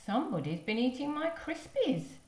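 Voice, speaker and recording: Woman as a high-pitched, exclaiming storybook mother. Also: big pitch swings, normal speed, a little mellow. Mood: indignant. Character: polite storybook mother, adult woman, easily alarmed. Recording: roomy echo.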